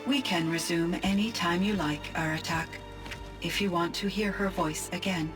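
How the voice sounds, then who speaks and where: A woman playing a gentle, thoughtful artificial intelligence in a video game, speaking in measured, formal phrases. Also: fairly low pitch, lightly acted. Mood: composed, formal. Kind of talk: scripted video game dialogue. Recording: music behind the voice.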